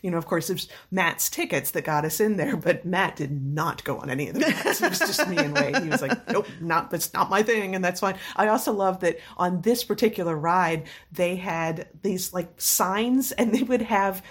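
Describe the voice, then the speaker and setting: A woman with a slightly scratchy voice, telling personal stories in a casual, animated, laughing way. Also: on the low side, normal speed, few pauses, husky. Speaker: storyteller in a chat. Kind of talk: casual anecdotal chat.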